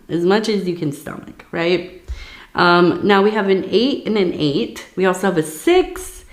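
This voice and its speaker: A woman reading tea leaves in a soft-spoken ASMR style, hoarse from losing her voice, rambling with many fillers and a dry mouth. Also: on the low side, reverberant. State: losing her voice, sore throat. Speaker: tea leaf reader.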